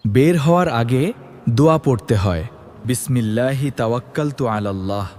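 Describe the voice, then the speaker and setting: A man playing a gentle, pious father who teaches his young son in a children's educational cartoon. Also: mid-range voice, lively intonation, normal speed, faint music behind, natural voice. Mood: instructive. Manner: instructive, kindly, simple phrasing.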